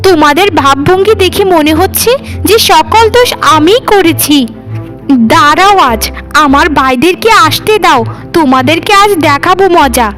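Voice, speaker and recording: A woman's voice: extremely high pitch, animated, normal speed, a bit bright. A woman voicing an arrogant, spoiled young sister-in-law in a cartoon, scolding and threatening, with music behind her. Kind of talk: cartoon voice acting.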